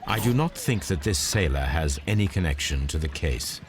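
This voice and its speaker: An actor playing a keen, confident middle-aged British gentleman detective in a video game, speaking formally and precisely. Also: rumbling low, lively intonation, normal speed, background noise. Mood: analytical. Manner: precise, formal, articulate, self-assured. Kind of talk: video game cutscene acting, deductive monologue.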